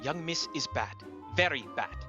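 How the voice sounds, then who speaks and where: An actor playing a grave, cautious old foreign professor in a radio horror drama, with halting, emphatic phrasing. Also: male voice, a little high, lively intonation, dull audio, lightly acted. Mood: grave, troubled. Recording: loud music behind the voice.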